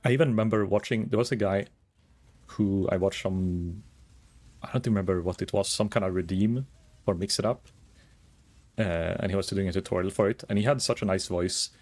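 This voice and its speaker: A calm, soft-spoken man chatting casually while streaming a game, rambling and thinking aloud with many fillers. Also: sits low, normal speed. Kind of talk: casual gaming stream chat.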